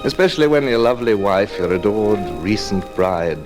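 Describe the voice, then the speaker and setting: An actor playing a proud, restless British sea captain in an old radio drama, wry and theatrical. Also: male voice, lowish voice, expressive, normal speed, over loud music, natural voice. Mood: wry, reflective. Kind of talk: 1940s-50s radio drama acting. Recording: vintage radio drama recording.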